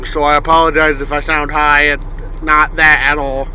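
Trucker vlogger, young man, nasal from a cough, slightly high, casual and meandering, phone mic. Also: level tone, moderate pace, sharp tone, poor audio. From Illinois, USA. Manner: rambling, many uhs and ums. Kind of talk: casual vlog tour. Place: parked truck cab, then outdoors in wind. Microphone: handheld phone camera. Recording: faint engine and wind noise.

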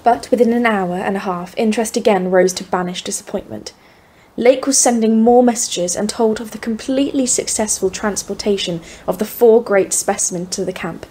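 A woman's voice: medium pitch, moderate pace, warm tone, slightly rough, lightly acted. A woman playing an earnest expedition leader, narrating events formally in an audio drama. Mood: eager. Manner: formal, literary phrasing. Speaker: voice actor in an audio play. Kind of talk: scripted first-person narration, audio drama.

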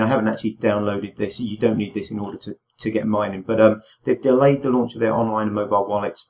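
A young man with a slightly hoarse voice from a cold walks through a screen tutorial, relaxed and full of ums. His low voice has a gravelly edge, and the home recording sounds dull and lo-fi. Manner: relaxed, many ums and uhs. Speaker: crypto tutorial host. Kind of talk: casual screen-share tutorial. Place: small room indoors.

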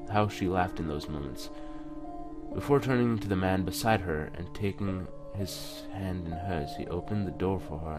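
A man hosting a let's play, reading game text aloud with casual reactions and often stumbling and correcting himself. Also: low-pitched. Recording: music under the voice.

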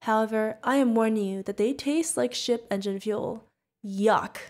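A woman's voice: fairly high pitch, normal speed, a little mellow, lightly acted. A woman playing a sweet, curious, friendly feathered alien bartender in an ASMR roleplay, chatting directly to the listener. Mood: friendly, chatty.

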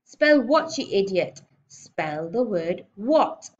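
A woman's voice: mid-range voice, lively intonation, a little fast, highs cut off, close to an everyday voice. A woman voicing a bullying giant headmistress in a storybook, barking and snorting her insults with sarcastic scorn. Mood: outraged, menacing. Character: giant, bullying, sarcastic middle-aged female school headmistress. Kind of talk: audiobook storybook character voice.

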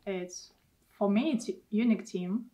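A woman from Russia talks casually in a seated interview, using simple, broken English. Her voice sits at an ordinary middle pitch. She is picked up from slightly away from the microphone. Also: normal speed, mellow, far from the mic. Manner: simple non-native English, light laughs. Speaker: aerialist and costume maker. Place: indoor costume workshop.

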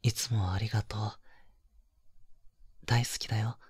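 A male voice actor playing a polite, flustered young man in a romantic voice drama, gentle and hesitant, with a soft breathy delivery. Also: lowish voice, flat delivery, unhurried. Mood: tender, shy. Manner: hesitant, bashful, apologetic, gentle.

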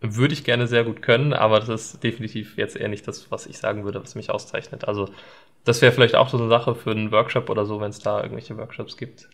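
Hesitant young man, pausing to think. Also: fairly low pitch, little pitch movement. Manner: thoughtful, hesitant, many filler ums. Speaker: guest, videographer. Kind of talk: casual interview chat. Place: indoor studio set at a desk.

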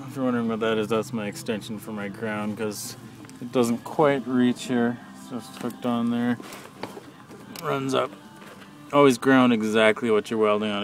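A man talking casually off the cuff as an amateur mechanic, in a plain-spoken, halting, matter-of-fact way while filming with a handheld camera. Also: on the low side. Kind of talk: casual off-the-cuff how-to narration. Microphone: handheld camera, speaker behind it.

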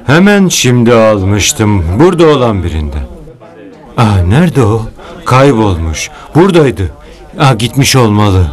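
An actor playing an eager, obliging companion in a dubbed cartoon, with an expressive manner. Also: male voice, lowish voice, unhurried. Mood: puzzled. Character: eager, obliging male companion sent on errands.

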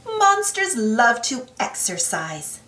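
A woman reading a children's storybook aloud in an expressive, singsong way. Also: on the high side, slow pace. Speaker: storybook reader, channel host. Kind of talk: children's read-aloud narration. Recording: roomy, distant mic, soft music behind.

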